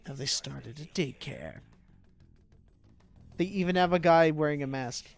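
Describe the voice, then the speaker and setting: A man's voice: on the high side, measured pace, gravelly. A man streaming a video game with casual, wry, joking commentary. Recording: game music underneath.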